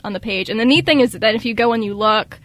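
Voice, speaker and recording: Young woman, bright higher-pitched voice, giggly, excitable, casual radio chat. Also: fast talker, dry recording. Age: young adult.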